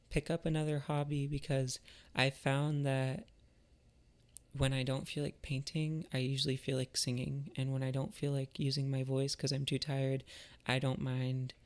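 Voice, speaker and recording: A man talking in a casual, reflective monologue, thoughtful and halting, with a creaky voice. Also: medium pitch, little pitch movement, darkish tone. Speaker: artist and musician vlogger.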